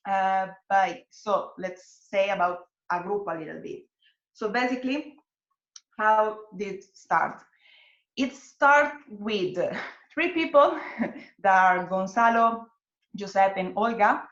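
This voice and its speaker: A woman's voice: medium pitch, slow, a bit bright, roomy sound. Italian woman on a muffled video call. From Italy. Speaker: guest.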